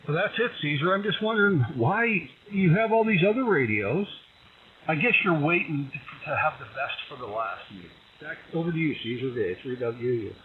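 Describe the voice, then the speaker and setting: Ham radio caller: man, mid-pitched, thin radio band with static noise. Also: animated, moderate pace. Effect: radio transmission. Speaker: amateur radio operator calling in. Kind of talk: casual ham radio transmission. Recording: narrow, very noisy shortwave radio signal.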